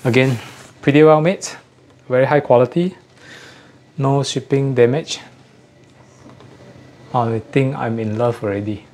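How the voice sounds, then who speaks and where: A man with a mid-pitched voice talks casually as a video host showing off a product. He repeats and rephrases as he goes. The recording has clear background noise. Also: unhurried, pauses often, a little mellow. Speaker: host of an audio gear channel. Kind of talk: casual unboxing chat.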